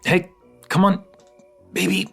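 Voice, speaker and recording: A man playing a dorky, playful partner in an intimate audio roleplay, rambling, giggly and teasing, with a slightly creaky voice. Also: high voice, completely flat delivery, slow pace, a bit bright, soft background music, heavily acted. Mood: reluctant, flustered. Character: dorky, playful young romantic partner, horror film nerd.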